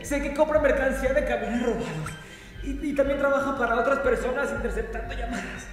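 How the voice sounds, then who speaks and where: An actor playing a terrified male captive in an audio drama, sobbing and pleading as he haltingly confesses. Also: very high-pitched, over music. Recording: cavernous reverb, heard from another room.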